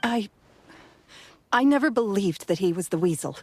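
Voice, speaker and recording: An actress playing a wary, grieving young woman in a video game, speaking slowly and sighing often. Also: mid-range voice, expressive, mellow, slight background noise, close to an everyday voice. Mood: hesitant. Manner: slow, guarded, sighing.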